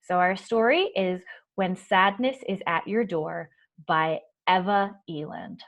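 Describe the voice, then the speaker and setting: A woman hosting a children's storytime, reading and explaining in a soft-spoken, reassuring, measured way. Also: fairly low pitch. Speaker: children's library storytime host. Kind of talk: gentle picture-book reading and explaining to children.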